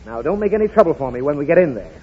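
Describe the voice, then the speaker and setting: A man's voice: fairly high pitch, sing-song, rapid-fire, breathy edge. A man playing a blustery, flustered, exasperated father in a 1930s radio comedy. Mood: flustered, bossy. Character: exasperated, grumbling father, boyishly excited by toys. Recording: dull lo-fi 1930s radio recording.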